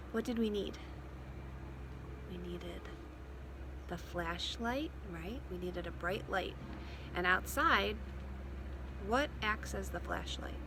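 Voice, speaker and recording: A woman with a medium-pitched voice speaks as a teacher of young children, in a read-aloud lesson style. She keeps her wording simple and checks in with her listeners. It is recorded outdoors on a propped phone, with very noisy surroundings and vehicle sound behind her. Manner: addresses children with simple questions and check-ins. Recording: loud outdoor ambient and vehicle noise.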